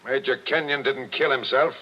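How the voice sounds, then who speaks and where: A man's voice: medium pitch, steady tone, normal speed, lightly acted. Guy doing a wry cop captain, blunt, keeps pushing questions, muffled old radio sound. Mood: pressing. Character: shrewd Irish-American police captain, blunt. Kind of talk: 1940s radio drama acting.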